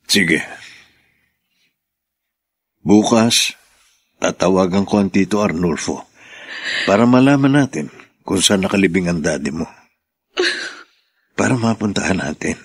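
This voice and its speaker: A protective, forgiving husband in a radio drama. His deep, husky voice is tender and reassuring, with lively swings of pitch. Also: measured pace, long pauses, full character voice. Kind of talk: Filipino radio drama acting.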